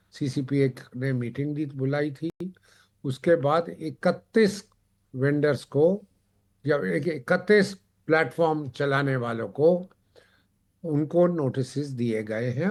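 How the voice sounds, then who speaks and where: A man explaining points as a TV panel guest, haltingly, often repeating and rephrasing his words. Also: fairly high pitch, a little mellow. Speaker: panel guest on a consumer affairs TV show.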